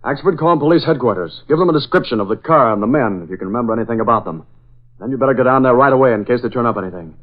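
An actor playing a serious, authoritative newspaperman sleuth in an old radio drama, crisp and commanding. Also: mid-range voice, expressive, racing, lightly acted. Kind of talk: 1940s radio acting. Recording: muffled vintage radio recording.

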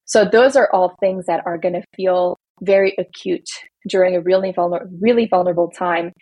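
A woman's voice: fairly low pitch, moderate pace, husky, dry recording, muffled recording. A woman from Belgium, an attorney, explaining workplace rights in an earnest, casual podcast chat. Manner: earnest, explanatory, speaks in long building lists. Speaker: employment attorney guest.

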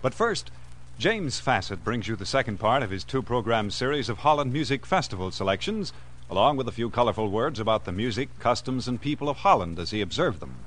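A man's voice: mid-range voice, fairly bright tone. A man announcing in a formal, scripted, polished 1940s radio style.

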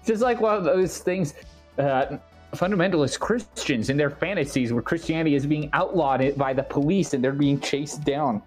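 A man chatting casually in a hesitant, halting way. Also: on the high side, slightly rough, soft background music. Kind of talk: casual chat.

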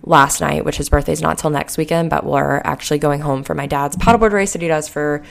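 Hoarse, sleepy young woman rambling. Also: low-pitched, steady tone, brisk. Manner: rambling, heavy use of "like" and "um". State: hoarse and sleepy from a late night. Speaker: podcast host. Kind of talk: casual solo podcast talk.

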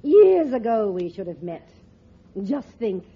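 An actress playing a genteel, coy and wary older widow in a 1940s radio comedy. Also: female voice, fairly high pitch, very animated, slow, breathy edge, exaggerated voice. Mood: wistful, genteel. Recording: dull old radio recording, audience murmur.

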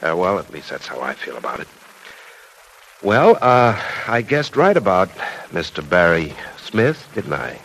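A playful young man in an old radio serial, with a deep voice that swings up and down a lot. He jokes slyly, and there is a faint hiss behind him. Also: big pitch swings, unhurried, a bit bright, heavily acted, low-quality recording. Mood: joking. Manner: joking, sly and playful. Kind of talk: 1940s radio acting.

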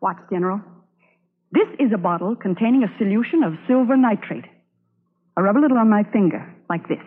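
A woman's voice: medium pitch, expressive, a little fast, breathy edge, phone-quality sound. A woman playing an earnest, resolute young Civil War army nurse in old-time radio drama style. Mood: eager, insistent. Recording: band-limited vintage radio recording.

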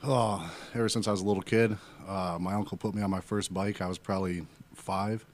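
A man's voice: low voice, fairly even intonation, brisk. A thirty-year-old man from Wisconsin chatting casually as a podcast guest, giving short, laid-back answers. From Wisconsin, United States. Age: 30s. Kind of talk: casual chat.

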